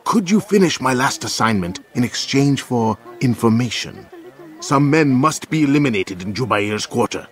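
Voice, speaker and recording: A man's voice: mid-range voice, lively intonation, moderate pace, natural voice. An actor playing a friendly but cautious male assassin informant in a video game, polite and concerned. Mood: friendly, urgent. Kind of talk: video game cutscene acting. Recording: loud music behind the voice.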